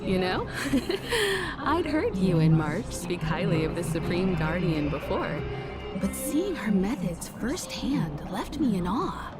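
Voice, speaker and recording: A woman's voice: mid-range voice, big pitch swings, takes it slowly. A woman voicing a kindly, composed mentor in an anime-style video game. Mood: gentle, composed. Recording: loud background music under the voice.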